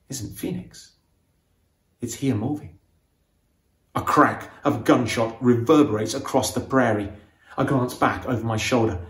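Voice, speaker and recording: Male narrator, mid-pitched voice, expressive, suspenseful, dramatic audiobook reading, roomy echo. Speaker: author reading own novel.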